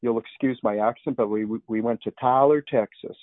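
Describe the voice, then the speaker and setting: A man's voice: mid-range voice, fairly even intonation, brisk, no echo. A middle-aged man giving a conversational testimony as an interview guest, earnest and rambling with restarts. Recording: narrow phone-line sound.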